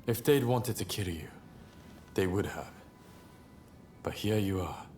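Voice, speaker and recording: A man playing a level-headed, skeptical samurai rebel in a video game, measured and matter-of-fact. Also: low-pitched, lively intonation, a little mellow, a little hiss or hum. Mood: wary, reasoning. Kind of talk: video game cutscene acting.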